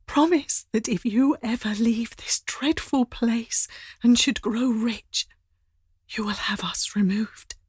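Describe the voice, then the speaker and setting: A frail young wife on her deathbed, played by an actress in a period audio drama. Her voice is faint and breathy, often sinking to a whisper. She speaks slowly and haltingly, pleading with meek, devout resignation. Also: a little high, dry recording, studio quality, heavily acted. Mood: weak, pleading. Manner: halting, pleading, weakly coughing. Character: dying young wife and mother in debtors' prison, meek, devout.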